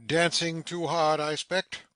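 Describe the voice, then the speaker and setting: A man acts out a self-important middle-aged gentleman of stage comedy, full of bluster and easy indignation. The voice is fairly high and sharply forceful, recorded close and dry, with pompous, wordy phrasing. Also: level tone, measured pace, no echo. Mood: concerned, probing. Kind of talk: acted stage satire in audio.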